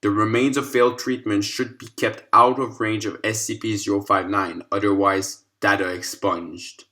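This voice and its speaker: A man with a fairly low voice narrates a written case file. His delivery is formal and clinical, as scripted scientific narration. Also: little pitch movement, moderate pace, bright, forceful tone.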